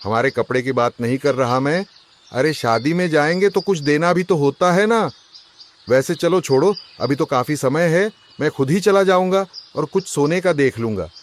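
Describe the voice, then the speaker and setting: Guy acting a worked up cartoon merchant husband, kinda high voice, exclaims and complains a lot. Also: animated, normal speed, natural voice. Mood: casual, agreeable. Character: agitated rich merchant husband, suspicious and indignant. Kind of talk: Hindi cartoon story voice acting.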